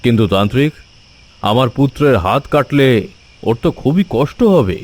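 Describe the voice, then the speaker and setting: A man's voice: mid-range voice, normal speed, darkish tone, natural voice. A man playing a protective, cautious king and father in a cartoon fairy tale, formal and authoritative. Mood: cautious, concerned.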